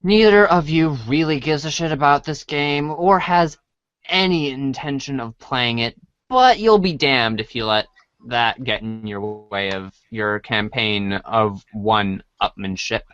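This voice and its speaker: An unhurried narrator reads a scripted comic story aloud in the manner of a read-along storybook, occasionally tripping over a line and saying sorry. The voice sits at a medium pitch. The recording is dry and slightly dull. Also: a bit bright, smooth, no room sound. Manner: stumbles over lines and apologizes.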